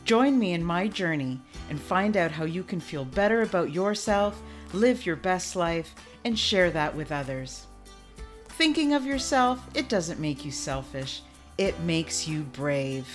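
Inspirational lower-voiced podcast host woman. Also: lively intonation, measured pace, faint music behind. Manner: emphatic, inspirational delivery. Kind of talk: podcast intro and interview.

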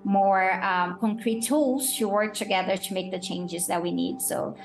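Brazilian accent, woman, thoughtful guest, mid-pitched voice, soft music behind. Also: a little slow, vocal fry. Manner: thoughtful, searching for words, laughs easily. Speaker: guest, academic and YouTuber. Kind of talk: casual interview talk.

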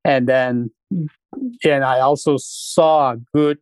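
Casting middle-aged man from Nepal, halting and unhurried. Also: mid-range voice, fairly even intonation, a little mellow, clean tone, no echo. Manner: unhurried, halting, with many fillers. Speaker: guest, restaurant founder. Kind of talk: casual interview storytelling.

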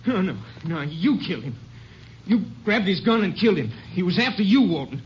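Crooked scheming constable. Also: male voice, high voice, sing-song, a little fast, a little hiss or hum. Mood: menacing. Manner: sly, turns threatening. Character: crooked scheming male small-town constable. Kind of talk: 1950s radio drama acting. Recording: muffled old radio transcription.

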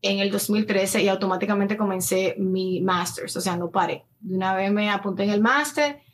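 A woman's voice: medium pitch, moderate pace, a little hiss or hum. A woman in her early thirties with a Dominican Spanish accent, talking casually and at length as an interview guest, mixing in English words. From Dominican Republic. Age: early 30s. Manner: talkative, anecdotal, slips in English words. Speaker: interview guest, influencer and addiction counselor. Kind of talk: casual conversational interview answers.

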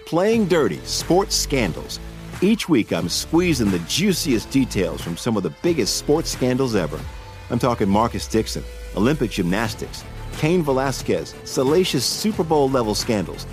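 Male promo host, very lively intonation, scripted read over prominent music. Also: medium pitch, moderate pace. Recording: loud music bed under voice. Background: loud music.

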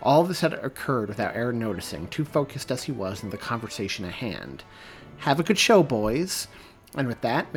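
A man, the author, reading his own story aloud in a steady, even audiobook narration. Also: mid-range voice.

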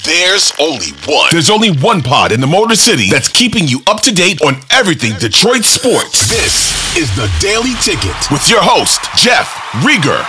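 Man, promo announcer, swooping lively delivery, loud radio style, music behind. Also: on the high side, normal speed, hardly any pauses. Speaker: show intro announcer. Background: prominent music.

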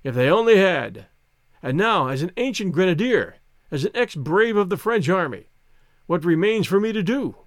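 An old French grenadier, acted out by a male narrator in a storybook tale, grandiloquent and flattering. His voice sits high and swings widely, heard close and dry. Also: normal speed, no echo. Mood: boisterous, flattering. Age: older. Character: effusive old French soldier, flattering, theatrical, wily.

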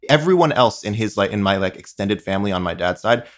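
A man with a very low voice and a slight Midwestern accent chats as a guest. His humor is dry and wry, and his delivery stays understated. He is from Long Island. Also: lively intonation, quick pace. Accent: slight Midwestern American. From Eastern Long Island, USA. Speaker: podcast guest, recording engineer. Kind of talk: casual chat.

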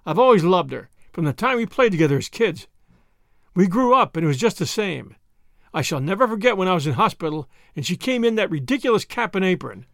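A man's voice: high voice, big pitch swings, fast, vocal fry, a bit bright, dry recording, studio quality, exaggerated voice. A man playing a hot-tempered, heartsick young Englishman. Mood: anguished fury. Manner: clipped, bitter, impassioned. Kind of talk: audiobook character voice.

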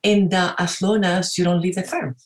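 A woman tells her story as an interview guest, chatty and full of fillers and tag questions. Her voice sits on the low side and rings in an echoey room. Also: fairly even intonation, moderate pace. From Venezuela. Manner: chatty, many fillers and "right?" tags. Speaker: guest entrepreneur.

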